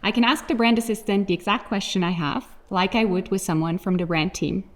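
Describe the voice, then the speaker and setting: A woman whose first language is German, giving a scripted, measured product keynote presentation. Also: mid-range voice.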